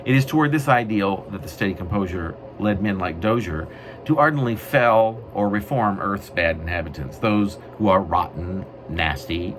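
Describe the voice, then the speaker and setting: Low-voiced man reading poetry, widely swooping pitch. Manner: wry, mock-grandiose, deliberate phrasing. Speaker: poet reading own work. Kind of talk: literary poetry reading with offhand asides. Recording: noisy amateur recording.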